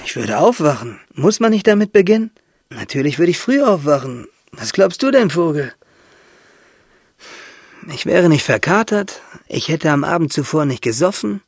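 An actor playing a dying young man in a radio drama, husky and gravelly, musing intimately in speech, bitter yet playful, asking and answering himself. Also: fairly high pitch, very animated, normal speed, no echo, clean studio sound, exaggerated voice. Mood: wistful, tender.

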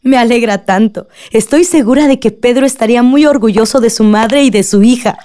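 A woman's voice: on the high side, brisk, warm tone, close to an everyday voice. An actress with a Mexican accent playing a caring, homely middle-aged village mother in a radio drama. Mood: proud.

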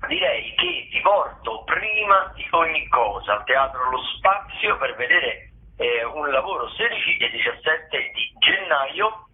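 A man giving a casual radio rundown as a theatre critic, wordy and halting with repetitions. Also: on the high side, huge pitch swings. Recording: tinny phone line.

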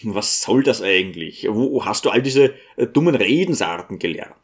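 A man voicing a stern, impatient, pious provincial priest in an audiobook, with a gruff, scolding manner. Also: medium pitch, lively intonation, unhurried, close to an everyday voice. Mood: impatient, disapproving.

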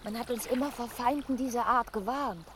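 Woman as a wary, high-voiced water spirit. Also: brisk, background noise, lightly acted. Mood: alarmed. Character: wary Rhinemaiden, female water spirit guarding gold. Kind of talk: German fantasy radio drama acting. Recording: radio drama with music and water sounds.

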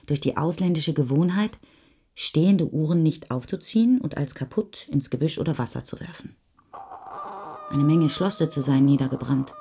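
A woman narrating literary prose in a scripted radio reading, with a husky voice. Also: low-pitched, warm tone.

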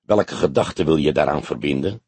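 A man voicing a shrewd, teasing old police detective in an audiobook, with a probing, deliberate way of talking. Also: very deep voice, a little mellow, husky, no echo, voice pushed down, heavily acted. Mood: shrewd, measured.

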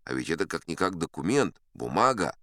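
Old sea captain spinning yarns, really low voice, super expressive, folksy and sure of himself. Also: male voice, brisk, dry recording, clean studio sound, heavily acted. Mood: musing. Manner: folksy, self-assured, conversational yarn-spinning. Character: old sea captain, boastful, spinning tall tales of his adventures. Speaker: audiobook performer narrating in character. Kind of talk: dramatic first-person storytelling.

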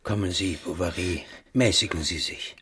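An actor playing a pedantic, self-assured pharmacist in a German radio drama, speaking in a pompous, didactic way. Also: male voice, deep voice, moderate pace, fairly bright tone, slightly rough, heavily acted. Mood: soothing. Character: pedantic freethinking male pharmacist, self-assured, placating, argumentative.

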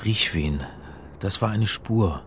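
Uneasy middle-aged academic, low voice, measured and earnest, radio play with faint music behind. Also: male voice, animated. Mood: brooding, tense. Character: earnest, uneasy male academic narrating his search. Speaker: actor and first-person narrator. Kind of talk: radio drama narration and dialogue.

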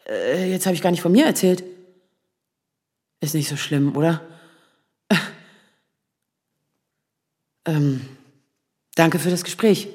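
An actress playing a nervous, self-doubting lawyer in her thirties in a naturalistic radio drama, speaking in a halting, rambling way. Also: low-pitched. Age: 30s. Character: nervous, self-doubting 35-year-old asylum lawyer, single woman.

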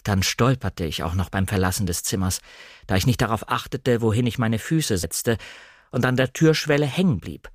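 A man's voice: lowish voice, expressive, moderate pace, no echo, clean studio sound. A man narrating an audiobook, reading scripted literary first-person prose in a composed, careful storytelling manner.

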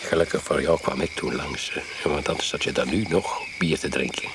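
A man's voice: extremely low pitch, steady tone, normal speed. An actor in a radio play voicing a sly, menacing man who mocks his guest and then barks orders at him. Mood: teasing. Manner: mocking, then barking orders. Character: menacing middle-aged man, sly host. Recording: loud hiss under the voice.

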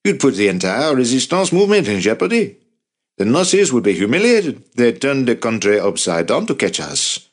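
A man reading an audiobook plays an indignant resistance commander. He is skeptical and incredulous, forcefully throwing out objections. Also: deep voice, big pitch swings, fairly quick, husky, full character voice. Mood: alarmed, angry.

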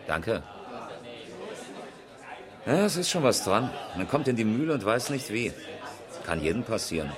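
A man playing a chatty rally driver in a radio crime drama, talking casually and a little haltingly to a friend. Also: lowish voice, big pitch swings, a crowd in the background. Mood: uneasy, chatty.

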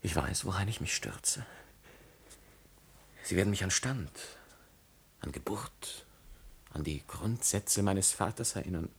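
An actor playing a passionate, defiant young nobleman in love in a classical tragedy radio play, declaiming in a husky voice. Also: low-pitched, animated, unhurried, pauses often, full character voice. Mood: solemn, resolute. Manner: declamatory, impassioned.

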